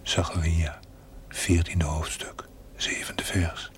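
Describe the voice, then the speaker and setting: A man playing a solemn, all-knowing spirit voice in a breathy, measured whisper. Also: sits low, flat delivery. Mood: solemn, wry.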